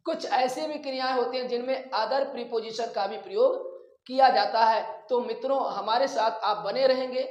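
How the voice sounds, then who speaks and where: A man teaching a grammar lesson, explaining rules deliberately and repeating his phrases. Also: very high voice, lo-fi sound. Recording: echoey room sound.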